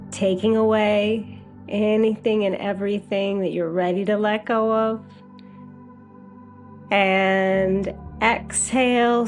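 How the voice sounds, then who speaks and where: A woman guiding a meditation as a hypnotherapist, with a soothing, gentle, unhurried delivery. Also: mid-range voice. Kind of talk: guided meditation.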